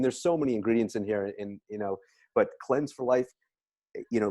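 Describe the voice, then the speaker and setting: A man's voice: mid-range voice, a little mellow, no echo. A man speaking casually and emphatically as he promotes a product, repeating phrases to drive points home.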